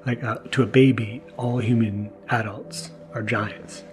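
A man speaking as a podcast host in a casual, intimate monologue, hesitant and soft-spoken. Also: medium pitch, fairly even intonation, measured pace. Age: adult, new father. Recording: mellow music underneath. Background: soft mellow music.

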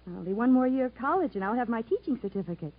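A young wife with a fairly high, breathy-husky woman's voice. She is devoted, chatty and keeps up a brave cheer, in 1950s radio drama manner. The recording is narrow and tinny. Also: animated, fast. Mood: bravely cheerful. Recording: thin, narrow-band vintage radio broadcast.

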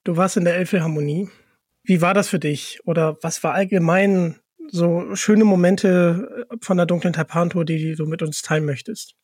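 A man with a notably high voice hosts a casual interview podcast. His voice is recorded close and dry, with hardly any room sound. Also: fairly even intonation, normal speed, a little mellow, breathy edge, dry recording. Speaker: podcast host.

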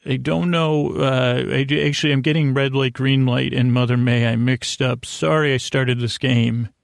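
A middle-aged man hosting a bedtime podcast, rambling in a halting, stammering way with self-deprecating, gently silly asides and tangents. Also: mid-range voice, level tone, creaky, dry recording, studio quality. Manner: halting, stammering, repeats words and trails off into tangents. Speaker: podcast host.

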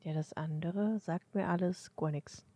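A woman's voice: lowish voice, measured pace, mellow, dry recording. A woman co-hosting a podcast, chatting casually in an offhand, blunt way. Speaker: podcast co-host.

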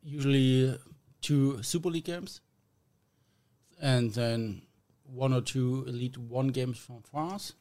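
A man from Germany with a German accent, a podcast guest talking in a halting, self-deprecating way with small grammar slips. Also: mid-range voice, warm tone. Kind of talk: casual podcast chat.

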